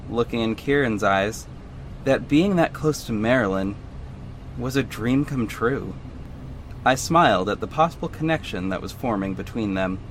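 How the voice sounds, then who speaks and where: An actor playing an earnest superhero who narrates his own story in a measured, serious audio drama style. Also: medium pitch, slight background noise, close to an everyday voice, poor audio. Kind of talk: scripted first-person audio drama narration.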